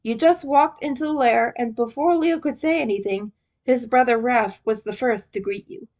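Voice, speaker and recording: A woman's voice: a little high, moderate pace, darkish tone. A woman narrating a fan fiction story from a script.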